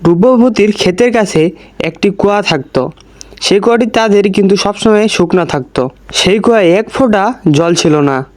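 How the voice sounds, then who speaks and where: A man's voice: sits high, moderate pace, no echo. A man narrating a scripted village story for a cartoon as its storyteller.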